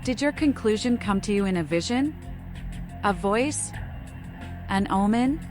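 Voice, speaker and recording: Casting: actress as unhinged vampire girl for a game, cryptic, childlike, gleefully erratic, very animated range. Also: mid-range voice, a little slow, soft background music, lightly acted. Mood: playful, erratic. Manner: singsong riddling, giddy, erratic. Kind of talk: video game voice acting.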